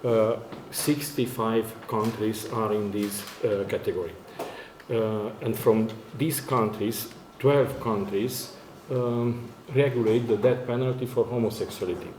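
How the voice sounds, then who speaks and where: Halting Romanian-accented male lecturer. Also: fairly low pitch, fairly even intonation, darkish tone. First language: not English, which is a fourth working language. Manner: very halting, hesitant, searching for words. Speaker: academic conference speaker, university lecturer. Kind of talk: formal conference presentation in non-native English. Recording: echoey room, faint background noise.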